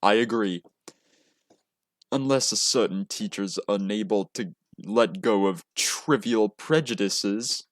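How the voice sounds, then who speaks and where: A man's voice: fairly low pitch, slow pace, no room sound, studio quality. A man voicing a sardonic, short-fused teenage schoolboy in a visual novel, blunt and sarcastic.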